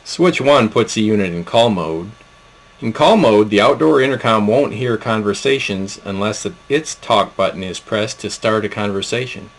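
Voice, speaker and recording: A man's voice: lowish voice, normal speed. A man giving an instructional product demo narration in a plain, explanatory way. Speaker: product presenter.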